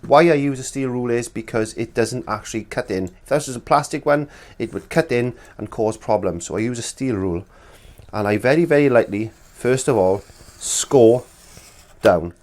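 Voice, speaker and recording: A man's voice: medium pitch, normal speed, darkish tone. A middle-aged man teaching an art lesson in his small studio, sounding congested from a cold and talking in a chatty, rambling way with many restarts. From Wales. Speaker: art instructor. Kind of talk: casual demonstration lesson.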